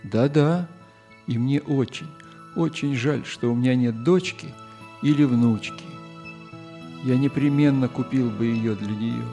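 Creaky, mellow kindly old editor played by a man. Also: mid-range voice, soft background music, lightly acted. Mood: kindly, admiring. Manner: gentle, deliberate, old-fashioned politeness. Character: kindly old newspaper editor, courteous and sentimental. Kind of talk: audio play acting for children.

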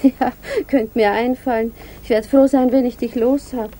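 Actress as reserved aunt with highish voice. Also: female voice, steady tone, normal speed, warm tone, a little hiss or hum, lightly acted. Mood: teasing, brisk. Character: reserved, wistful small-town widowed aunt. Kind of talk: 1960s German radio play acting.

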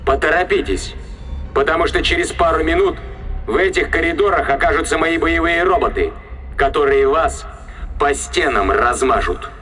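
An actor playing a defiant starship captain in an audio drama, commanding, threatening and emphatic. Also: male voice, a little high, moderate pace, bright, forceful tone, soft background music.

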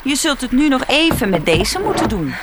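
An actress playing a hurt, reproachful sister who stays at home, clipped in a Dutch radio play. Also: female voice, high voice, sing-song, moderate pace, heavy background noise. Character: adult sister, bitter and hurt homebody. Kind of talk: radio drama acting.

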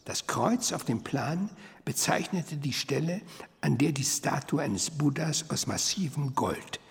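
A sly old male doctor savours his tale in a radio crime drama. His voice is gravelly and animated, teasing and unhurried, ringing in a long hall reverb. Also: on the high side. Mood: patient, savouring. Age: older.

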